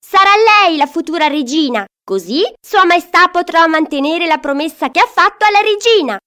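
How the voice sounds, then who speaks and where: An eager courtier in a fairy tale, voiced by a woman. The minister fawns and exclaims excitedly in a very high voice with big swoops. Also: moderate pace, heavily acted. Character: eager, fawning royal ministers, male courtiers.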